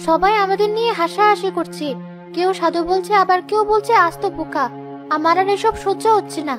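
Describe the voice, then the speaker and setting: A very high-pitched, weary young-sounding wife, acted by a woman, worried and reproachful. She pleads plaintively in cartoon dialogue, with music clearly playing behind her. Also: a little fast. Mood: distressed, plaintive. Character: weary, worried wife of a poor honest porter. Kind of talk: animated folk-tale dialogue.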